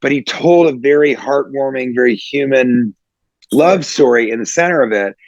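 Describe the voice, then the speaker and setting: A man's voice: medium pitch, moderate pace, no echo. Man, enthusiastic podcast co-host, dry close sound, slightly muffled. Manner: enthusiastic, emphatic film talk. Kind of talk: casual chat.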